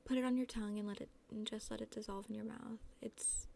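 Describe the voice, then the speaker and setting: Woman as a laid-back teenage boy, creaky voice. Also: fairly high pitch, flat delivery, fast, warm tone, a little hiss or hum. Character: laid-back blond teenage boy, casual party friend.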